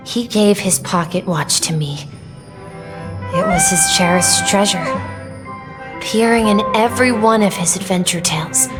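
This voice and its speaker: A woman's voice: mid-range voice, close to an everyday voice. A woman voicing an earnest, wistful young boy in a video game, telling his memories in a careful, reflective way. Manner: slow, careful, reflective delivery. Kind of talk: video game voice acting, storytelling. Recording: game music behind the voice. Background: background game music.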